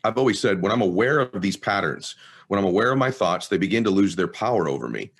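Guy hosting a podcast, lowish voice, affirming and thinking out loud. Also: animated, fast, slightly rough. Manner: affirming, reflective, rambling. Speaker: podcast host. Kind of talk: conversational interview.

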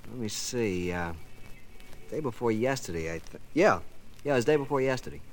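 A man's voice: medium pitch, expressive, fairly quick, over loud music, lightly acted. An actor playing a hesitant man answering questions in a 1950s radio drama. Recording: noisy, clicking old radio recording.

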